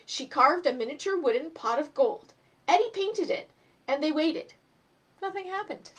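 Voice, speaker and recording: A woman's voice: sits high, expressive, moderate pace, a bit bright. A woman hosting a children's storytime, talking to viewers and reading a story aloud expressively. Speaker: storytime host and reader.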